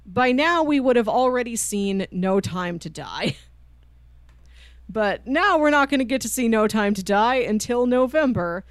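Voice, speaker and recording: A woman's voice: fairly high pitch, no room sound, studio quality. A woman co-hosting a podcast in casual chat, with an enthusiastic, chatty manner. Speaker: podcast co-host. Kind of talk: casual chat, pitching films to co-host.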